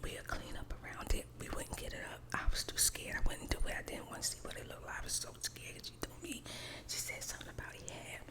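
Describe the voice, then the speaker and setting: A middle-aged woman whispers a homely, informal story straight to her listeners in an ASMR style. Her delivery is breathy and unvoiced, with colloquial phrasing and points repeated for emphasis. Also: rough recording.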